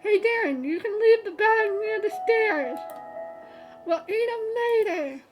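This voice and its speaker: A woman's voice: very high voice, lively intonation, measured pace, faint music behind, voice pushed up. A woman voicing a cheerful, friendly boy leading a group in a visual novel read-aloud, upbeat and eager.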